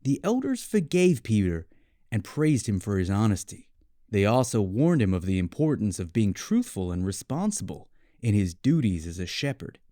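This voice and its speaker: A man with an American accent reading a scripted storybook tale for English learners in clearly phrased, measured storytelling. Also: fairly low pitch, very animated, warm tone, no echo, clean studio sound. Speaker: story narrator.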